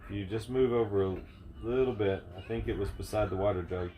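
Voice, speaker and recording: A man voicing a gentle, calm, dreamy teenage girl in a storybook, softly and without hurry. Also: lowish voice, fairly quick, a little hiss or hum, natural voice.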